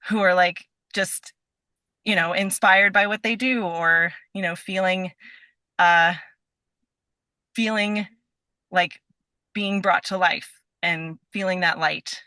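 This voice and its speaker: Bright-voiced, giggly woman chatting casually. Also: on the low side, steady tone, takes it slowly, pauses often, no echo. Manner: giggly, effusive, rambling with many asides. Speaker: interview guest, performer. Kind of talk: casual chat.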